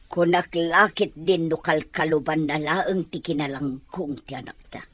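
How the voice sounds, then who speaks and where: A woman's voice: low-pitched, fairly even intonation, unhurried, fairly bright tone, no room sound. Worried mother, close dry sound. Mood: resigned, concerned. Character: protective, worrying mother and wife. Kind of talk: radio drama acting.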